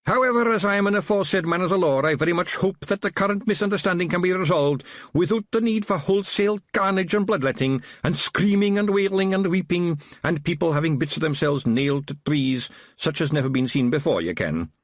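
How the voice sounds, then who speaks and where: A man's voice: brisk, hardly any pauses, no room sound, studio quality, natural voice. A man voicing a tiny, fierce, long-winded male pictsie policeman with a put-on Scottish accent, in a storybook reading. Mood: outraged. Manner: excitable, high, loud and long-winded.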